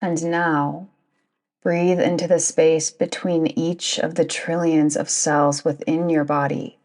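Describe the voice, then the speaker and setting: A woman guiding a yoga nidra meditation in a soothing, deliberate manner, with long pauses between phrases. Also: low voice, level tone, darkish tone. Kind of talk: guided meditation narration.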